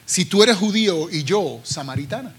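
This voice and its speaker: A woman's voice: lowish voice, lively intonation, normal speed, slightly rough. A man with a Puerto Rican accent reading the lines of a questioning Samaritan woman at a well, his voice lifted a little.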